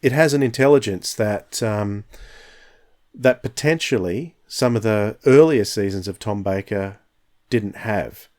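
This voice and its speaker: Podcast guy in his 50s, low-ish voice, chatty and reflective, keeps restarting sentences. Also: unhurried, a little mellow. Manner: enthusiastic, reflective, frequent restarts. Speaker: podcast host. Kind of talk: casual podcast discussion.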